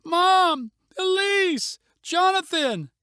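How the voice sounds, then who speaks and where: A frightened young storybook girl voiced by a male narrator calls out in panic. The voice leaps up very high and shouts, with a close, dry sound. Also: expressive, drawn-out words, no echo, exaggerated voice. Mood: panicked. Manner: shouting, high-pitched cries. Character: frightened young girl screaming for her family on a mountain.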